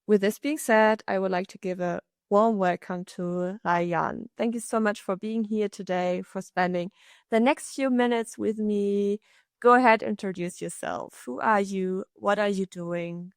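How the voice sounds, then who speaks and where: Clear-voiced woman host with creaky edge. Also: medium pitch, no echo, clean studio sound. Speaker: podcast host, interviewer. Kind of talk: conversational interviewing with guest introduction.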